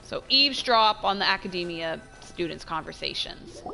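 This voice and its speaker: A woman giving casual, chatty gameplay commentary as a streamer, expressive and trailing off into asides. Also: on the low side, normal speed, creaky, music in the background, poor audio. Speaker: game streamer.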